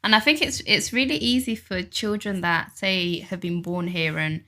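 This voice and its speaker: A woman talks easily in a relaxed chat and slips into brief mimicry as she goes. Her tone is bright and forceful, set a little low for a woman. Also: moderate pace. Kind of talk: casual conversational chat.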